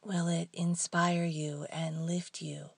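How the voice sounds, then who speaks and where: A woman leading a guided meditation in a soft, hushed, breathy voice, leaving long pauses between short phrases. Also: fairly low pitch, level tone, no room sound.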